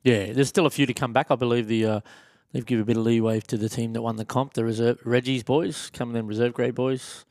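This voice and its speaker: A man's voice: medium pitch, steady tone, quick pace, a little mellow, no room sound, studio quality. A man with an Australian accent co-hosting a podcast, chatty and calling everyone "mate". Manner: matey, says mate constantly. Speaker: podcast co-host. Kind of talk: casual podcast chat.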